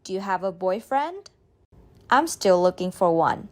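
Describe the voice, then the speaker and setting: Slow, lively woman reading drill lines. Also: medium pitch. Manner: repeats each line slowly and clearly. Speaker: model speaker in a language drill.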